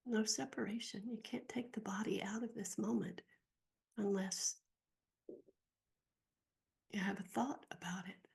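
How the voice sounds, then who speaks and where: A woman leading a guided meditation as a teacher, speaking gently and softly in a breathy, husky voice with long pauses. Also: mid-range voice, steady tone. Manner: slow, gentle, soft-spoken with long pauses.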